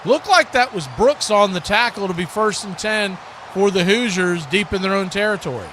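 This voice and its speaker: An American man with a high-pitched voice commentates a football game live. He calls the action in short, clipped phrases, as the host of a play-by-play stream. Clear ambient noise sits behind his voice. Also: animated, normal speed, a bit bright. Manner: clipped present-tense calls.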